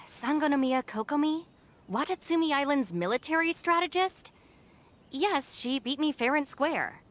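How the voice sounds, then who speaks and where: A woman's voice: sits high, a little slow. A woman voicing a level-headed young female deputy in a video game, matter-of-fact, dry and exasperated. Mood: dry, unimpressed. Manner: deadpan, sighing, matter-of-fact.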